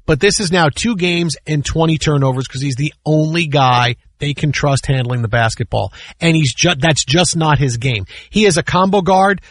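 High-voiced man hosting sports talk radio. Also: moderate pace, few pauses, fairly bright tone, no echo. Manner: emphatic, repeats himself, rhetorical questions. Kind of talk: casual, opinionated sports commentary. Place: radio studio.

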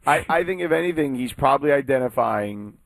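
Male co-host, mid pitch, dry studio sound, halting and stammering, then firm. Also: expressive, creaky, no room sound. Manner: hesitant, stammering restarts before making his point. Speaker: sports radio co-host. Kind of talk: morning sports radio debate.

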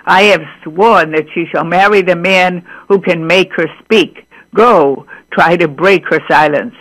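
A woman voicing a stern, threatening old king in a storybook reading, slow and commanding. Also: lowish voice, fairly bright tone, low-quality recording.

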